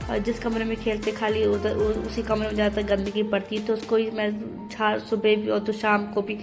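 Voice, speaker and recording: A young woman homemaker narrating her daily chores in a steady, matter-of-fact voice-over, step by step. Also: mid-range voice, brisk, creaky. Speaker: homemaker vlogger. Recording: voice-over with loud background music.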